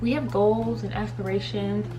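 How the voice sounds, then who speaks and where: A woman's voice: mid-range voice, little pitch movement, normal speed, over music, low-quality recording. A thirty-year-old woman chatting casually while doing her makeup, giggling often and talking straight to her viewers. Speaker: beauty and faith vlogger. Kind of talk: casual makeup tutorial chat.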